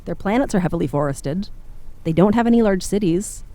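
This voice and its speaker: A woman's voice: mid-range voice, animated, a little fast, mellow, close to an everyday voice. A woman playing a studious, matter-of-fact researcher giving a briefing in a science fiction drama. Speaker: research officer in an audio drama. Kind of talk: acted dialogue, briefing.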